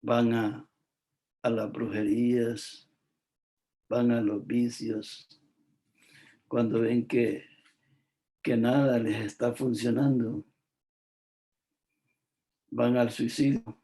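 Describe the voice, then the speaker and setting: A man from El Salvador, preaching a devotional sermon in a slow, emphatic, repetitive way, with frequent filler words. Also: mid-range voice, flat delivery, dark tone.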